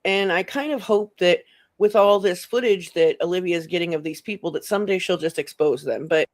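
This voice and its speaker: A woman's voice: on the low side, brisk. A woman hosting a commentary channel, talking casually and bluntly with a colloquial, mocking edge.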